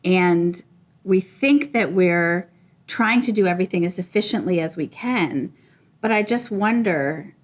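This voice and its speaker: A woman's voice: lowish voice, measured pace. A woman co-hosting a casual professional discussion, thoughtful and wondering aloud.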